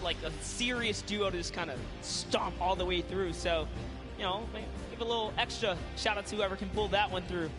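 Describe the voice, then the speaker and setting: A man co-casting a live esports match, hyped on the play-by-play and relaxed in banter. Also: high-pitched, moderate pace, music in the background. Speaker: esports co-caster.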